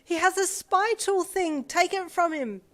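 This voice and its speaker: A woman's voice: high voice, expressive, fast talker, faint music behind, pitched above the performer's own voice. A woman voicing a startled young heroine in a storybook reading. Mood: alarmed.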